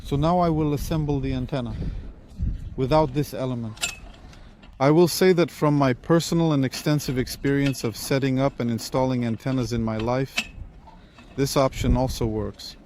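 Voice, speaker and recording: Guy doing a hands-on gear review, mellow tone, explains stuff plainly, bit of street noise behind him. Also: mid-range voice, steady tone, moderate pace. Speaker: presenter reviewing radio equipment. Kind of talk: hands-on product review and demonstration.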